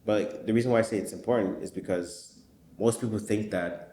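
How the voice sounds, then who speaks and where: A man's voice: fairly low pitch, steady tone, brisk, warm tone, reverberant, a little hiss or hum. A man hosting a casual interview, hesitant and searching for words, swearing casually. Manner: hesitant, many fillers and restarts, swears casually. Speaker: podcast host.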